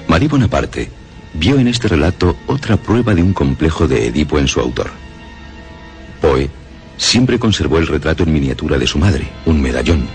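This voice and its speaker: A man presenting a radio drama in a measured, formal, scripted way. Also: extremely low pitch. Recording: music under the voice.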